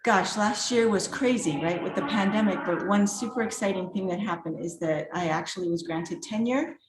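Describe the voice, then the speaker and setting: A woman's voice: medium pitch, moderate pace, noisy background. Middle-aged woman, art professor, chatty and upbeat, recorded in an echoey room. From former Czechoslovakia. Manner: chatty and upbeat, laughs often. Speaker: guest artist and art professor. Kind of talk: casual self-introduction at a virtual reception.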